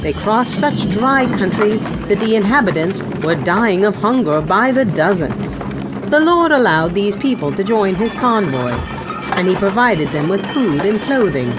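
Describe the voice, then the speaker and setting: A woman narrating a children's storybook audiobook with an expressive storytelling delivery. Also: medium pitch, unhurried, heavy background noise. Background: background music.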